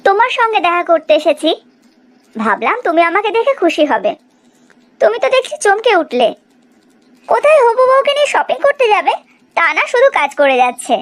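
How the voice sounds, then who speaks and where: Lady voicing confident pert young bride to be, cartoon style, coaxing, super high voice. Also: big pitch swings, a little fast, long pauses. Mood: pert, teasing. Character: bold, teasing, scheming young bride-to-be. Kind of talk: cartoon drama dialogue.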